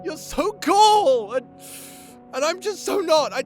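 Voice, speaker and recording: An earnest young male wizard underdog , voiced by an actor with a high, very expressive voice. He is insecure and emotional, halting and pleading, in a fantasy audio drama with faint music behind. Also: exaggerated voice. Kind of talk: fantasy audio roleplay acting.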